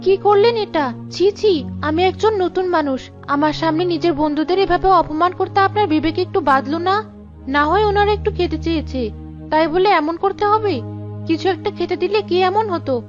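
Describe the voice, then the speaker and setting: A very high, plaintive young bride from a cartoon, voiced by a woman, long-suffering and politely reproachful. The recording is dull, with music underneath. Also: brisk. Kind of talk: cartoon dialogue acting. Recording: dull lo-fi sound with music behind.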